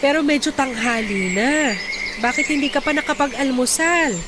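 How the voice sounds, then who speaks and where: A woman playing a caring, proud middle-aged mother speaking gently in a Tagalog radio drama. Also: high-pitched, normal speed, heavy background noise, close to an everyday voice. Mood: gently concerned. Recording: loud music bed behind the voice.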